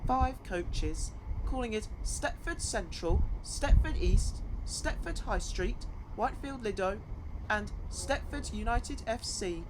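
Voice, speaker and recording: A woman's voice: mid-range voice, lively intonation, slow. A woman reading scripted train platform announcements in a formal manner, in stitched-together phrases. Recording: clear background noise, vehicle ambience.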